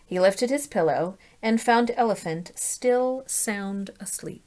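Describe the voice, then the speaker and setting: A woman reading a children's story aloud as a teacher, in an animated, deliberate way, putting questions to her listeners. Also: mid-range voice.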